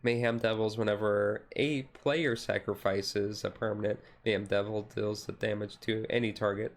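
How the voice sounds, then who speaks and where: A man giving a casual, relaxed walkthrough in an ASMR-style stream, talking haltingly with frequent pauses mid-sentence. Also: lowish voice. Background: background music.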